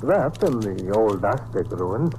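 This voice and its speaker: An actor playing a courteous, cautious Mexican police inspector in an old-time radio drama, speaking formally and carefully with a Mexican accent. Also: male voice, fairly low pitch, very animated, mellow, exaggerated voice. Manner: formal, measured, no contractions. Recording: noisy vintage radio recording.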